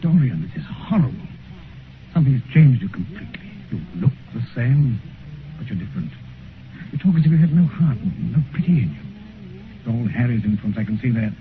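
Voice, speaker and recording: An actor playing an earnest, wounded British gentleman painter, reproachful and pleading, in a 1950s radio drama. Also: mid-range voice, big pitch swings, a little fast, natural voice. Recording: muffled 1950s radio recording, faint noise.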